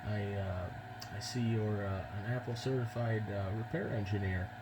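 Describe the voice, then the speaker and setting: A man's voice: fairly low pitch, fairly even intonation, normal speed. American man on radio, very noisy recording. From United States. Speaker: amateur radio operator. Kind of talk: casual ham radio contact.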